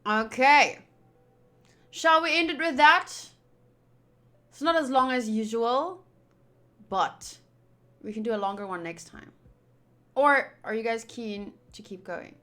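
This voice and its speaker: A woman's voice: on the high side, animated, slow, pauses often, fairly bright tone. A woman streamer chatting to viewers and reading game text aloud as live commentary. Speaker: streamer playing a visual novel. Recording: game music behind the voice.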